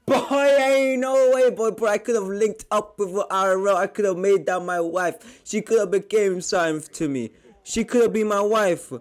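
A young man chatting loudly while gaming, laughing, repeating himself and swearing a lot. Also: high voice, moderate pace. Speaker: vlogger. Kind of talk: rowdy casual gaming chat.

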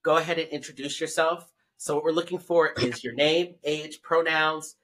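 A man in his thirties hosting a casual podcast panel, laughing easily and explaining things in a relaxed way. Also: a little high, normal speed, poor audio. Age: 30s. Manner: laid-back, laughing, explaining. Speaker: podcast host.

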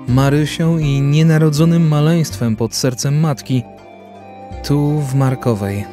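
A male narrator reads slowly and solemnly in a mid-pitched voice. Music plays clearly behind his scripted, measured delivery. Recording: music bed under studio voice.

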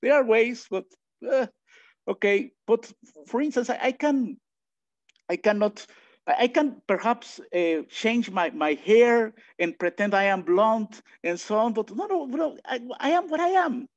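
A man from Mexico with a Mexican accent, giving a philosophy lecture online, thinking aloud with many restarts and lively asides. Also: very high voice, unhurried. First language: Spanish. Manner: thinking aloud, frequent restarts and filler sounds, animated asides. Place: home room, indoors. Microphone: video call webcam. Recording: dull lo-fi video call audio.

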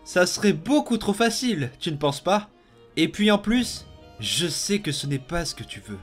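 A man's voice: high-pitched, very animated, moderate pace, soft background music. A man voicing a menacing, manipulative young boy out for revenge in a French comic dub, coaxing and commanding.

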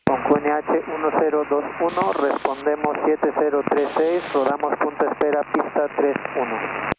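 A man's voice: a little high, little pitch movement, moderate pace. A man speaking as a pilot, making clipped radio calls to the control tower. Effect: aviation radio transmission. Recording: muffled, noisy cockpit radio transmission.

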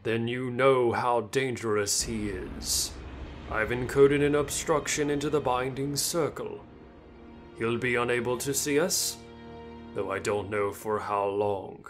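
A stern male sorcerer, voiced by an actor for a comic book dub, at a middling pitch. He speaks slowly and formally, every phrase a deliberate command. Music plays clearly behind him. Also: steady tone, close to an everyday voice. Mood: cautious.

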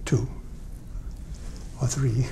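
Older man in his 70s, deep dark tone, halting delivery, light hiss behind. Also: steady tone, creaky. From Portugal. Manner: hesitant, many fillers and restarts. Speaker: interviewee, veteran. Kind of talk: oral history interview. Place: library room.

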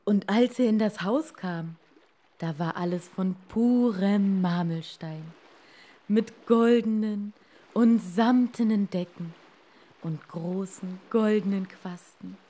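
Woman reading a bedtime fairytale, real slow, pauses for effect. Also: mid-range voice, mellow. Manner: measured, dramatic pauses. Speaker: storyteller. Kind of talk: scripted fairy-tale narration for children.

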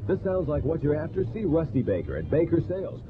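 Animated male radio ad announcer, tinny sound. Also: a little high, fast, noisy background. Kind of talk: scripted local radio commercial read. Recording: tinny 1970s AM radio broadcast.

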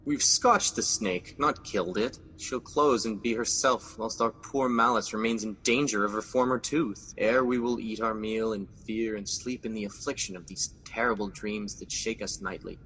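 A man's voice: on the low side, steady tone, moderate pace, hardly any pauses, bright, forceful tone, long reverb tail, slight background noise, poor audio. An actor playing Macbeth, a guilt-ridden scheming king, in a classic radio drama. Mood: brooding. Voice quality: brooding, intense.

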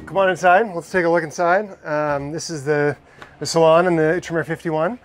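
A man's voice: fairly high pitch, fairly even intonation, normal speed, soft background music. Enthusiastic man giving a boat tour. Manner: enthusiastic, explanatory, frequent restarts. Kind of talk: casual walkthrough tour. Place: inside a catamaran saloon, docked.

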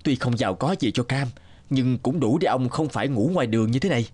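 A man playing a kind, hospitable poor villager in a cartoon, speaking gently and reassuringly. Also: mid-range voice, fast talker, husky, lightly acted. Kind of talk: cartoon dubbing.

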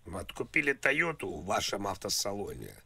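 Gravelly man rambling through a prank call. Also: lowish voice, wildly swooping pitch, pushed and bright. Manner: halting, repetitive, playing a naive confused customer. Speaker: prank caller. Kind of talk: prank phone call, rambling improvised talk.

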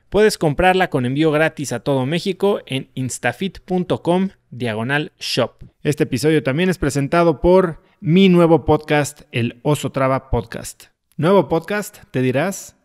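A man in his thirties hosting an interview podcast, reading sponsor spots and asking warm, open questions into a close studio mic. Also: on the high side. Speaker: podcast host. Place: small room with plain wall. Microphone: close studio mic with headphones.

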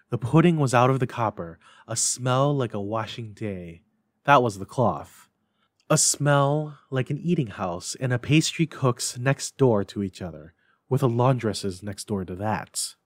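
A man giving an expressive, deliberate dramatic reading of a classic Christmas story. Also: mid-range voice, dry recording, studio quality. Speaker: library staff story reader.

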